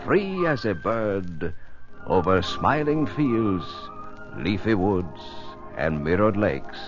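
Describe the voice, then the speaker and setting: An actor playing an earnest, wonder-struck dreamer of flight, speaking poetically in a 1950s radio drama. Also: male voice, fairly low pitch, big pitch swings, slow pace, over music. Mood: rapturous, yearning. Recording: muffled old radio recording.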